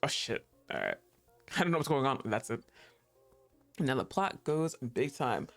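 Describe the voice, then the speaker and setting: A woman's voice: extremely low pitch, very animated, measured pace, faint music behind. A woman streaming a game live, reacting casually with laughs and swearing. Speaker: streamer and voice actor. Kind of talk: live game stream commentary, reading game dialogue aloud.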